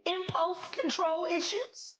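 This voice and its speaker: A woman's voice: extremely high pitch, fairly even intonation, slow, lo-fi sound. A woman talking casually as a gossip commentator, blunt and profane in her manner.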